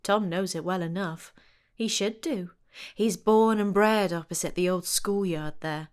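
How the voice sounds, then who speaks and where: A woman narrating a scripted story in a deliberate, evocative way. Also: medium pitch, clean tone.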